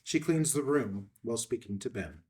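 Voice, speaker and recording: A man's voice: medium pitch, expressive, moderate pace, a little mellow, far from the mic. A man narrating scene headings and stage directions from a screenplay in a scripted read.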